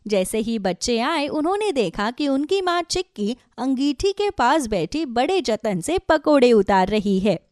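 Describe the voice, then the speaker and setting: A woman narrating a children's story from a script in an expressive storytelling manner. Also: on the high side, measured pace, hardly any pauses, no room sound, clean studio sound.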